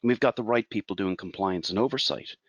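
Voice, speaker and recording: An Irish man in his forties presents a webinar as a consultant, explaining in a measured way and asking rhetorical questions. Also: lowish voice, slightly rough, dry recording, lo-fi sound. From Ireland.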